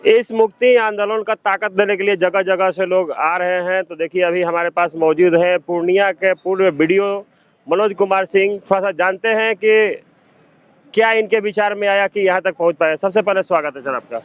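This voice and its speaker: A man's voice: high-pitched, fairly even intonation, normal speed, clear, resonant tone, dry recording, muffled recording. A man working as a reporter, introducing and questioning a guest in a field news interview.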